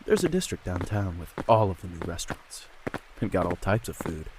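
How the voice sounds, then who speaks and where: An actor playing a charming young man who courts a maid, with a smooth, gallant and teasing manner. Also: deep voice, very animated, fairly quick, background noise. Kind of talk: amateur audio drama acting.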